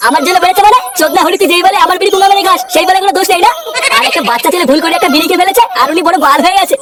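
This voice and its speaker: A man voicing a grumpy, foul-mouthed old grandfather in a comic cartoon, scolding in an exasperated way with an artificially raised pitch. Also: very fast, heavy background noise. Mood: indignant. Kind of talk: exaggerated comic cartoon dialogue.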